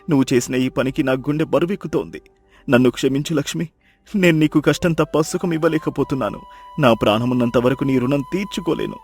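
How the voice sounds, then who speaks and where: A man's voice: fairly high pitch, husky. A man playing a poor, devoted fisherman husband in a moral story, speaking earnestly and with dramatic emphasis. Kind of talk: acted moral-story dialogue.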